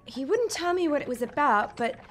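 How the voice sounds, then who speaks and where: A woman's voice: high voice, expressive, a little fast, faint music behind. An actress playing a composed, wry young upper-class woman in an audio drama, dry and guarded in manner. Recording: background noise and ambience.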